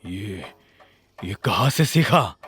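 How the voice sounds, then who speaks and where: Man acting big male fighter, boastful, swaggering, gruff, lowered pitch, audio drama with music behind. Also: very animated, takes it slowly, a bit bright, exaggerated voice. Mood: stunned. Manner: swaggering, mocking.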